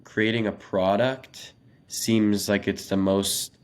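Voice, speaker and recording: Low, even-voiced young American man. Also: slow pace, smooth. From Los Angeles, United States. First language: Russian. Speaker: podcast guest, young startup founder. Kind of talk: conversational interview answers.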